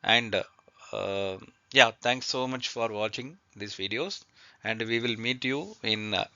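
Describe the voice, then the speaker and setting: Male presenter, non-native English, slow and hesitant slide narration, crisp voice on a slightly dull recording. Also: fairly low pitch, bright, forceful tone, dry recording. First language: not English. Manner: halting, hesitant delivery with repeated words. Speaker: presenter of an aviation training lesson. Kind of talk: slide presentation, partly read out.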